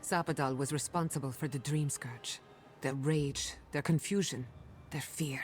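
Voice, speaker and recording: Low-voiced, calm female envoy. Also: unhurried. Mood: calm, firm. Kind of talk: video game cutscene dialogue.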